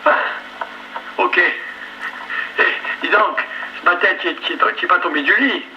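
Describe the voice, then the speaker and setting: A man's voice: high-pitched, a little slow. A man chatting casually as a radio amateur over a two-way radio. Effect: two-way radio transmission. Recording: dull, slightly noisy ham radio relay.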